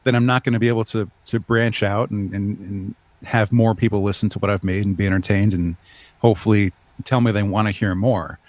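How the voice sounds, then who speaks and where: Low-voiced man explaining his creative project. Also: steady tone, a little fast, darkish tone, no room sound. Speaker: guest, audio drama creator. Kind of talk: casual interview chat.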